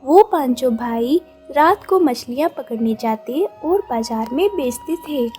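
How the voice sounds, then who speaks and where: A woman giving scripted narration of a children's moral story in a measured storytelling manner. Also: sits high, expressive, mellow, faint music behind.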